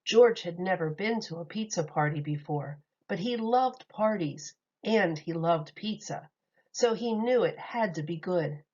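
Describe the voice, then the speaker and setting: Teacher reading a picture book to kids: a woman, expressive and unhurried, slightly dull recording. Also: on the low side. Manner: expressive, lively read-aloud.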